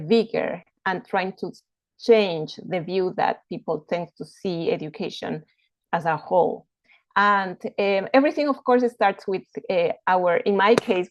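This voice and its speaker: A woman in her forties from Ecuador, an educator giving a casual introduction on a video call, thoughtful and pausing to find her words. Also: on the low side, dry recording, muffled recording. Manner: thoughtful, searching for words, many fillers. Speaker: educator and homeschooling parent.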